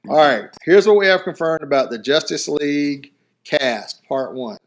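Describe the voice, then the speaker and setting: A man co-hosting a podcast, reading entertainment news in a steady, matter-of-fact way between casual chat. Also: fairly high pitch, normal speed. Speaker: podcast co-host.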